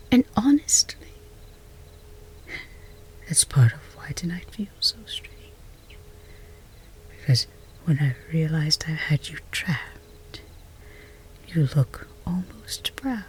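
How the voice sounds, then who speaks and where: A woman performs a smitten, flustered female rival in a romance ASMR roleplay. Her voice is very breathy and whispery, unhurried and intimate. She teases and hesitates, with pitch that swings expressively. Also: darkish tone, exaggerated voice. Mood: puzzled, probing. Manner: intimate, halting, teasing. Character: flustered lovestruck chess rival, wry, earnest, confessing.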